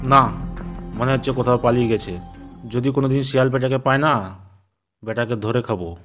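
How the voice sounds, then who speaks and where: A man's voice: mid-range voice, moderate pace, natural voice. A man voicing big, bullying predators such as a tiger and a lion in a children's cartoon, with a blustering manner. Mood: furious. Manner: blustering, threatening, boastful. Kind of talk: children's cartoon character dialogue. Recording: loud music under the voice.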